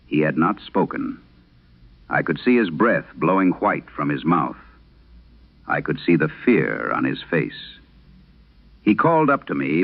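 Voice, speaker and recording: A man narrating a vintage radio horror drama as its host, reading in a grave, deliberate, dramatic manner. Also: low-pitched, creaky. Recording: narrow-band vintage radio sound.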